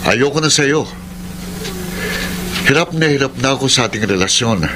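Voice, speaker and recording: A man's voice: mid-range voice, lively intonation, normal speed, background noise, lightly acted. A male actor with a Filipino accent playing a weary, defiant young womanizer in a radio drama. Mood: exasperated. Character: weary, defiant young womanizer boyfriend narrating his love story. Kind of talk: Filipino radio drama acting and letter narration. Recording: music bed under voice.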